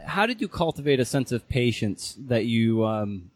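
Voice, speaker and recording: Medium-pitched man, halting casual interviewer. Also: big pitch swings. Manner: halting, rephrasing questions as he goes. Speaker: podcast host, interviewer.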